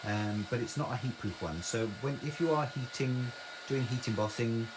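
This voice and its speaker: A man's voice: medium pitch, normal speed, noisy background. A man with a soothing voice, chatting through a live craft tutorial and reading out viewer comments. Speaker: craft demonstrator.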